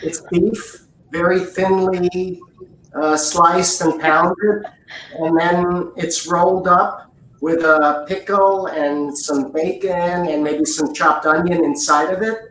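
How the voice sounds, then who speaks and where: A woman guest slowly explaining things in a casual chat. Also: fairly low pitch, steady tone, slight background noise. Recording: roomy and distant with faint background.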